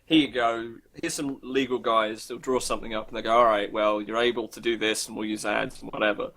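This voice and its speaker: A man's voice: fairly low pitch, little pitch movement, brisk, pushed and bright. A man co-hosting a casual, comedic internet talk show, irreverent and sweary, often slipping into acted-out imaginary dialogue.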